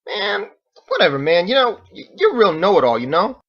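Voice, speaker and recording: A man's voice: sits high, very animated, fairly quick, fairly bright tone, dull audio, pitched above the performer's own voice, heavily acted. A man voicing a brash, touchy, boastful male cage fighter in an audiobook, talking in emphatic slang. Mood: indignant. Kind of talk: character voice in audiobook narration.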